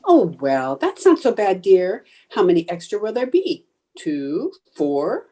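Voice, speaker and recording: A woman's voice: fairly low pitch, big pitch swings, measured pace, dark tone. A woman playing a sarcastic, theatrical housewife in an old-time radio sitcom, with exaggerated, wisecracking delivery. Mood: wry. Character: sarcastic, theatrical young housewife with a quick temper.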